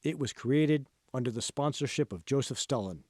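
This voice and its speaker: A man narrates a novel in a scripted audiobook style. His voice sits at a medium pitch, and the recording is dry, with no room sound. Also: expressive, moderate pace, a little mellow, dry recording. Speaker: author reading own audiobook.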